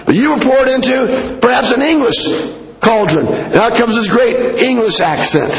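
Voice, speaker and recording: A middle-aged American man preaching a sermon in an animated, conversational way. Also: very high voice, normal speed, vocal fry. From United States. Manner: animated, conversational, acting out asides to listeners. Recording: echoey hall with long reverb, muffled.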